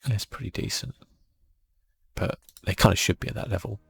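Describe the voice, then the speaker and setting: A man hosting a relaxed ASMR video game playthrough, speaking softly and musing aloud as he goes. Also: fairly low pitch, normal speed, gravelly, slightly rough. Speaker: gaming channel host.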